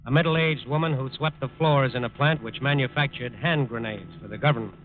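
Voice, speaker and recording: A man's voice: mid-range voice, a little fast, slight background noise. A man delivering solemn, emphatic scripted narration in 1940s radio style. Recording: tinny 1940s radio recording with music.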